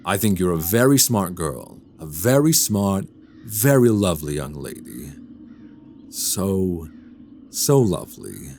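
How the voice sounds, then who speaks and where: A man acting as a sinister, condescending werewolf in human guise for a radio drama. The low, gravelly voice takes its time and swoops through its phrases with menace, over music. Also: sing-song, slow, darkish tone, a little hiss or hum, full character voice. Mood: smooth, creepy flattery. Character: sinister soft-spoken man who is secretly a werewolf.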